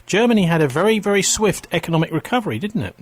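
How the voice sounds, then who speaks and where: A man hosting a radio interview, asking brisk, leading questions. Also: high-pitched, expressive. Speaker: radio host.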